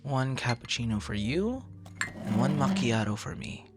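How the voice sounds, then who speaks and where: A man's voice: medium pitch, big pitch swings, moderate pace, over music, close to an everyday voice. A man performing an audio roleplay as a friendly, easily flustered young traveler chatting intimately and casually with a stranger, teasing and stammering playfully. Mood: chatty, lightly flustered. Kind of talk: intimate boyfriend-style ASMR roleplay, casual conversation.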